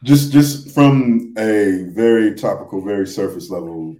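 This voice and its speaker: A man chatting casually with a roomy echo, measured and hesitant, often repeating himself. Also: lowish voice, expressive, dark tone. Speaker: podcast guest. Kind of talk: casual chat. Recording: roomy, echoing sound.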